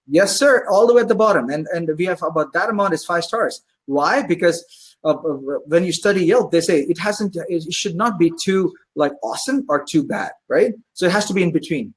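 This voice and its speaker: Husky guy rattling off fast stories about his pizza place, lots of uhs. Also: high-pitched, low-quality recording. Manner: animated storyteller, many fillers. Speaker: pizzeria owner and guest. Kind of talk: casual interview chat.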